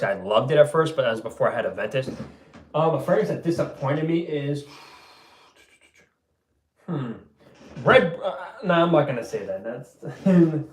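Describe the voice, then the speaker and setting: A man's voice: mid-range voice, roomy sound. Young man hosting a laid-back livestream. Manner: laid-back, loose, says bro and man a lot. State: voice going hoarse. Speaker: fragrance livestream host. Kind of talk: casual livestream chat reading viewer comments. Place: room at home.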